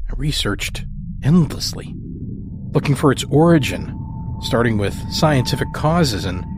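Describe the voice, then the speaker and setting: Slow, expressive male horror narrator. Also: medium pitch. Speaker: host and story narrator. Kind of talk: scripted horror podcast narration. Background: music score.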